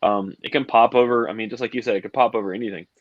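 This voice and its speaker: A man's voice: fairly low pitch, steady tone, racing, no room sound, dull audio. A man in his late thirties, co-hosting a casual podcast chat and rambling through explanations. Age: 30s. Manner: rambling, explains with hand-wavy comparisons. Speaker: podcast co-host.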